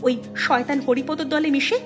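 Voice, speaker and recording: A woman's voice: sits high, fairly even intonation, brisk, soft background music, lightly acted. A woman voicing a principled young woman in a cartoon, firm and reproachful. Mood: indignant. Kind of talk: cartoon voice acting.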